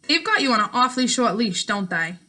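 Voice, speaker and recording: A woman's voice: a little high, very animated, fairly quick, sharp tone. A woman voicing a bold, confident girl in a storybook, breezy and mocking. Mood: teasing, scornful.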